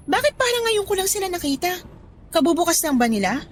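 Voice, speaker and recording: A woman's voice: extremely high pitch, sing-song, fast, a little hiss or hum. An actress playing a grateful, respectful young working woman in a Tagalog audio drama, with a Filipino accent and polite manner. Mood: amazed. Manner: polite, deferential.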